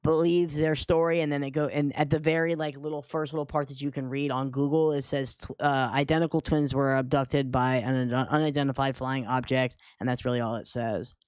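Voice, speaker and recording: A man's voice: mid-range voice, little pitch movement, brisk, hardly any pauses, a little mellow, clear, resonant tone, dry recording. A man co-hosting a podcast in a casual, conversational style. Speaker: podcast co-host.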